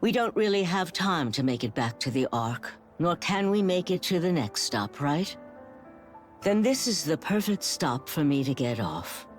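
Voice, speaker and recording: An actress playing a frail, dying young woman in a video game, resigned and stubborn, with wistful, halting delivery. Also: sits low, big pitch swings, faint music behind. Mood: resigned, defiant. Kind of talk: dramatic video game cutscene acting.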